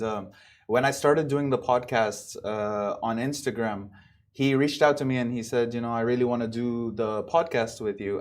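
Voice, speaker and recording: A young man from Dubai, a comedian guest telling stories in a casual chat, acting out quotes in a raised voice. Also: medium pitch. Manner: animated, acts out quotes in raised voice. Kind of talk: casual podcast storytelling.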